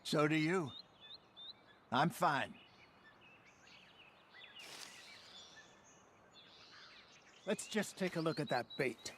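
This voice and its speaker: A man's voice: on the high side, lively intonation, unhurried, fairly bright tone, a little hiss or hum. A man acting in a video game as a wry, folksy old American outlaw and hunter with a good-humoured temper. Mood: nervous. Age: older.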